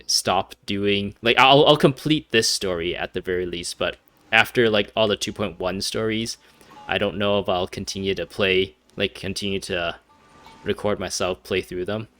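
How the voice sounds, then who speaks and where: A man's voice: on the low side, bright, forceful tone, clear, resonant tone, no room sound. A young man doing casual game commentary, rambling and hesitant as he thinks aloud. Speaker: gaming video host.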